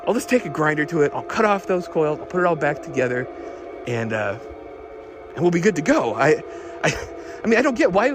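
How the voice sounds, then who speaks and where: An actor playing an obsessed, increasingly unhinged car tinkerer recording a rambling, halting audio diary for an audio drama. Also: male voice, fairly high pitch. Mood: agitated, defiant. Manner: halting, nervous, repeating himself, muttering fixations. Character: obsessed man secretly lowering his car, increasingly unhinged.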